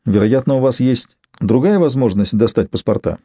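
A man's voice: mid-range voice, normal speed, dark tone, no echo, lightly acted. A man reading the part of a reluctant, wary businessman in an audiobook novel, guarded and stalling.

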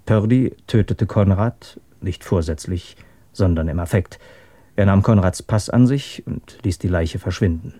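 A man's voice: low-pitched, measured pace, warm tone, no room sound. An actor playing a keen, methodical male police detective reporting to his superior in a German radio crime drama.

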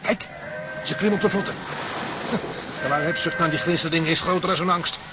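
An actor playing a blunt, excitable lookout sailor giving exclamatory running commentary in a Dutch audio drama. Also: male voice, fairly high pitch, brisk, close to an everyday voice. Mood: excited. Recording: very noisy outdoor sound-effects bed.

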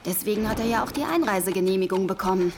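A woman's voice: mid-range voice, rapid-fire, over music, close to an everyday voice. An actress playing a guarded woman suspect who stonewalls under questioning in a radio crime drama, defensive and evasive. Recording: clearly noisy background ambience.

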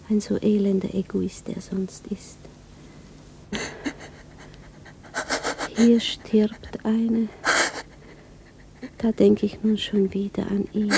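An actress playing an anxious, brooding woman in a radio drama, thinking aloud in a hushed, halting inner monologue. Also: medium pitch, creaky, noisy background. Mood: hushed, bitter. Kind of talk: 1960s German radio drama acting.

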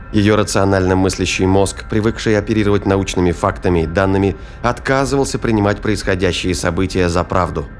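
A man's voice: low voice, a little hiss or hum. A man delivering scripted dramatic narration for a science fiction audio play. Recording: prominent music and battle effects behind.

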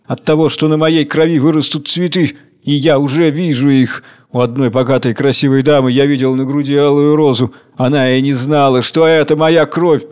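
A man acting a tender, excitable worker-poet who declaims in a pleading, dreamy way. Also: fairly high pitch, moderate pace, clean tone, close to an everyday voice. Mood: fervent, dreamy.

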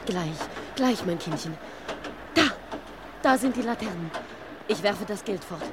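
A woman's voice: mid-range voice, animated, measured pace, natural voice. An actress playing an anxious mother who nervously coaxes and soothes her small daughter in a radio crime drama. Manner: coaxing, nervous. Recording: clear outdoor noise behind.

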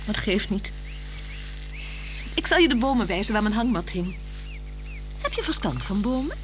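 An actress playing a playful, flirtatious married woman in a radio play, teasing and coaxing, moving between hushed words and exclamations. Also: medium pitch, very animated, normal speed, a little hiss or hum, close to an everyday voice. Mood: teasing, wistful. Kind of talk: 1960s Dutch radio play acting. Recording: 1960s radio drama with music.

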